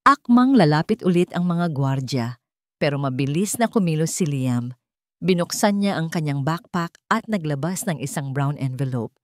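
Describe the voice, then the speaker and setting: A woman's voice: low-pitched, lively intonation, normal speed, dry recording, clean studio sound. A woman narrating a scripted romance drama in a theatrical, suspenseful way. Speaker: story narrator.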